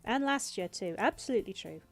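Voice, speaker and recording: A woman's voice: medium pitch, expressive, fairly quick, faint music behind. A woman streamer with a sarcastic, theatrical manner, reading out game dialogue and commenting on it. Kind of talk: livestream game playthrough commentary, reading dialogue aloud with reactions.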